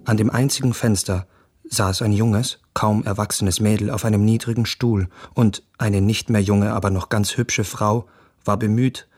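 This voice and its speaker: Uneasy young nobleman, low voice. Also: steady tone, moderate pace, a little mellow, breathy edge, no echo, studio quality. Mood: observant, uneasy. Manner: reflective, terse observations. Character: young Austrian minor nobleman traveller, observant, uneasy, self-reproachful. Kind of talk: interior monologue in literary radio drama.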